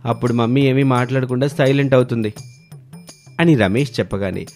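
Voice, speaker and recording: A man's voice: mid-range voice, steady tone, a little fast, vocal fry, darkish tone, soft background music. A man narrating a scripted family moral story as its storyteller.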